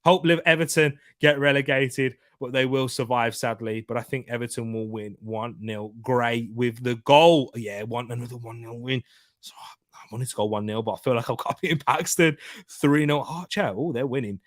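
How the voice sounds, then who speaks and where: A man's voice: mid-range voice, normal speed, fairly bright tone. A man hosting a casual football preview live stream, chatting loosely and reading out and joking with viewer comments. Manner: chatty, reads out viewer comments, jokey banter. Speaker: football preview show host.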